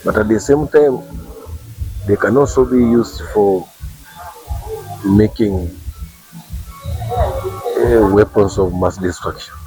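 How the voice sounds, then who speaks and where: A man's voice: fairly low pitch, takes it slowly, pauses often, dark tone, soft background music, low-quality recording. A man with a Ugandan English accent, a government official explaining chemical safety to workers, often repeating himself and adding "eh?". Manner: explanatory, repeats words, tag "eh?". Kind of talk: awareness talk to workers.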